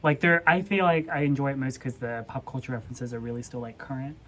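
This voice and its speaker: A young woman with a strikingly low voice chats casually about books. There is noticeable noise in the recording, and she speaks informally, hedging as she goes. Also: fairly even intonation, fast talker, a bit bright. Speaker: book vlogger. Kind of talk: casual book chat. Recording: clear background noise.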